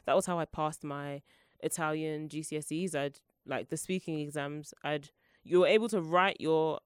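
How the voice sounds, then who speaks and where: A woman's voice: deep voice, clear, resonant tone, no echo, clean studio sound. Congested chatty young woman podcaster. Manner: chatty, playful, self-mocking. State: congested from flu. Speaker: podcast host. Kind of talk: rambling solo podcast chat.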